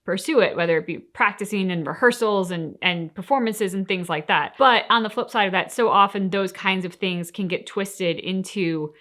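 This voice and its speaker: A woman's voice: mid-range voice, moderate pace, few pauses, a bit bright. A woman co-hosting a game reaction show, talking casually and reflectively in an earnest, explanatory way.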